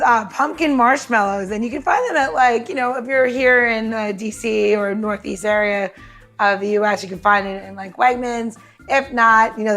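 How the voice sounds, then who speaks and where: A woman's voice: on the high side, fairly bright tone. A woman from New York chatting casually and jokingly as a podcast co-host, explaining recipes in an informal way.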